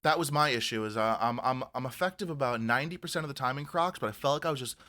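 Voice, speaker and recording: A man's voice: mid-range voice, quick pace, pushed and bright. A man co-hosting a casual podcast chat, rambling and swearing and often correcting himself mid-sentence. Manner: rambling, profane, self-correcting. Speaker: podcast co-host.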